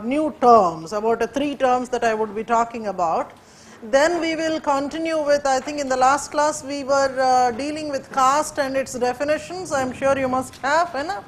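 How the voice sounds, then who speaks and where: A woman's voice: high voice, a little hiss or hum, low-quality recording. A woman from India, whose first language is Urdu, gives a classroom lecture in Indian English, hesitant and full of fillers. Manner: hesitant, many fillers and restarts. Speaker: teacher.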